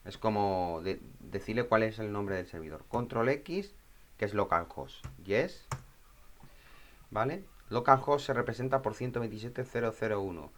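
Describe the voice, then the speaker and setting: A man with a slightly low voice presents a software tutorial in an informal way. He goes step by step, thinking aloud as he works. He often drops in short questions to check that the listener is following. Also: expressive, normal speed.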